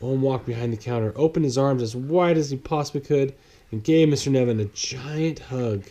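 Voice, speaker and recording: A man's voice: mid-range voice, fairly quick, dark tone. A man reading a children's picture book aloud as a bedtime story.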